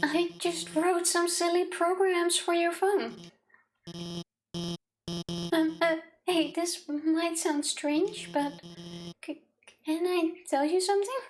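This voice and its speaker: A woman's voice: extremely high pitch, fairly even intonation, slow pace, long pauses, slight background noise, voice pushed up, rough recording. A woman voicing a shy, nervous, self-doubting young female scientist from a video game, stammering and earnest. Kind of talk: video game character voice-over.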